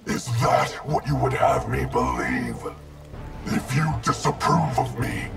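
A man voicing a grim, proud wraith ninja in a video game, gravelly and slowly threatening. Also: a bass voice, wildly swooping pitch, heavily acted. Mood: cold menace. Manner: slow, deliberate, threatening delivery. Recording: music playing behind.

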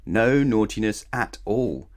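A man reading a children's storybook aloud in an animated, playful way, speaking straight to young listeners. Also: on the low side, slow. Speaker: storybook reader. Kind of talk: expressive scripted children's story read-aloud.

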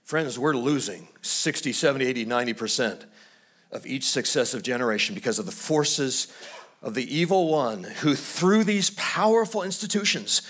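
A middle-aged man from California preaching a sermon at a church lectern, swinging from loud, high exhortation to hushed, low storytelling and restarting his phrases as he goes. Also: animated, moderate pace. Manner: repeats words as he restarts phrases. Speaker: pastor. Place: church stage at a wooden lectern.

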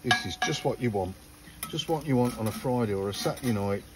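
A man's voice: medium pitch, fairly quick, background noise. Chatty man grilling outdoors. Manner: chatty, talks to viewers while cooking, says "look" often. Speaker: outdoor cooking host. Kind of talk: casual cooking vlog. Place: garden patio by a grill, outdoors.

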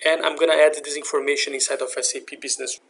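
A man narrating a software demonstration in a step-by-step, instructional way. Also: on the high side, little pitch movement, rapid-fire. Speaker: software demo presenter. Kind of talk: scripted software tutorial narration. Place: screen recording voice-over.

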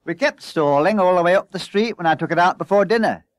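Man doing an aggrieved, high-voiced fireman mechanic. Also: fast, dry recording, close to an everyday voice. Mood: indignant. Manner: indignant grumbling.

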